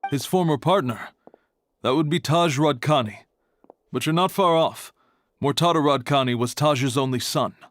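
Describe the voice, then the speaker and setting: An actor playing a reserved, serious archive keeper in a video game, speaking in a measured, guarded way. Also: male voice, fairly high pitch, fairly bright tone, no room sound, close to an everyday voice. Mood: matter-of-fact.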